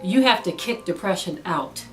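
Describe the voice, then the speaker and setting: Earnest woman preaching over soft music. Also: on the low side, animated. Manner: earnest, exhorting, slow and emphatic with rising intensity. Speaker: online preacher. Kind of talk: spoken sermon to a video audience.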